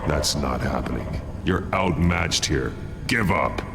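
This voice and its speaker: A man's voice: rumbling low, animated, slow, heavily acted. A man playing a grim, commanding masked vigilante hero in a video game, speaking in a terse, stern, controlled way. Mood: stern, threatening.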